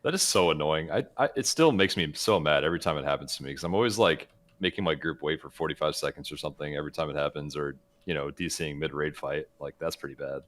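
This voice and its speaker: A man's voice: rumbling low, fast talker, hardly any pauses, a bit bright. A man co-hosting a gaming podcast, talking in a casual, conversational way. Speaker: podcast co-host.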